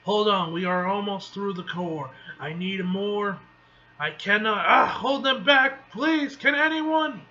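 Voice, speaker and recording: A man voicing embattled superheroes from a comic book, urgently calling for backup in a forceful, stumbling delivery. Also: sits high, fairly even intonation, a little slow, hardly any pauses. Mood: desperate panic. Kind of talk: amateur comic book read-aloud acting. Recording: dull lo-fi mic with faint noise.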